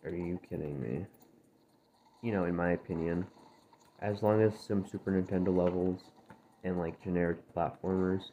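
A low-voiced man talks over his gameplay in a dry, gravelly, just-woken-up voice, rambling and correcting himself mid-sentence. The audio is thin and phone-like, with a faint hiss underneath. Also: fairly even intonation, moderate pace, pauses often, dark tone. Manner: rambling, self-correcting, drifting between topics. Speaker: gaming channel host. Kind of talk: casual gameplay commentary. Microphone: phone screen-recorder audio. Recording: tinny, phone-like, faint noise.

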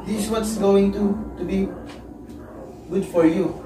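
A woman's voice: medium pitch, fairly even intonation, a little slow, natural voice. A woman playing a stern, demanding father in an amateur melodrama. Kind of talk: amateur one-act melodrama acting. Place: living room, far camera mic. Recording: echoey room, distant mic, music over it.

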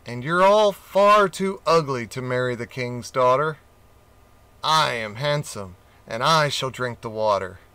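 A man's voice: medium pitch, animated, unhurried, bright, forceful tone, clear, resonant tone, no room sound. A man voicing a vain male leopard in a storybook, haughty and scornful.

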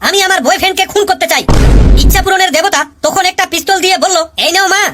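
Woman as wisecracking cartoon pupil; fast, bright, high, storytelling punchlines. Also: steady tone, background noise. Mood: cheeky. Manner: chatty storytelling, punchline delivery. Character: cheeky male pupil, married, joking about his wife. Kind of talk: cartoon comedy voice acting.

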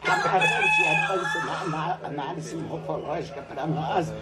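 An older woman, a retired teacher, recounting her school days in a relaxed interview on a home patio. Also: low-pitched, moderate pace, heavy background noise. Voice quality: lively, animated storytelling with laughs. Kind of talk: reminiscing interview. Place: covered outdoor patio at a home.

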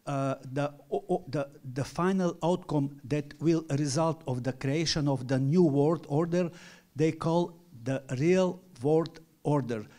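A man's voice: on the high side, warm tone. French-accented man giving a conference lecture. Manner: reads a structured lecture in halting second-language English. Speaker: panel speaker, professor. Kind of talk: academic conference talk. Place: large conference hall with audience.

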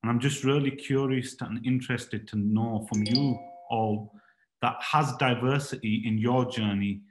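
Man moderating a video-call panel, echoey room. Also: on the low side.

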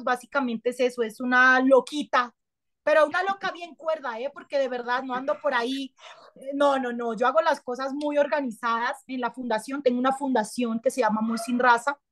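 A woman, an actress and TV host chatting casually in an interview on a video call, gesturing a lot as she talks. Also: high voice. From Colombia. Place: small indoor room. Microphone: webcam on a video call.

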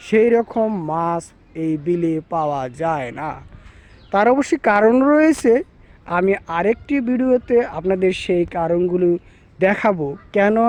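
High-pitched man telling village lore. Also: darkish tone. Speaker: storyteller presenter. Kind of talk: village folklore narration to viewers.

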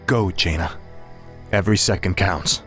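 A resolute warrior prince, young and impatient, with a fairly low voice. He sounds earnest and commanding, like the righteous hero of a fantasy game, over clearly audible music. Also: unhurried. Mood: urgent. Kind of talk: heroic fantasy game acting.

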